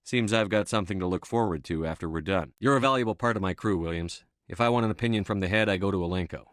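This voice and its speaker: Guy playing a steady space commander for a video game, lowish voice, stern n measured, super dry sound no room. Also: no room sound, lightly acted. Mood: earnest, steady. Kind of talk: video game dialogue acting.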